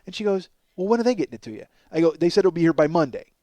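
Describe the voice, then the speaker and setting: A man hosting a podcast, telling a casual, profane comic story in an animated, exaggerating way and acting out both sides of conversations. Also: fairly high pitch, racing, no room sound. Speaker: podcast host.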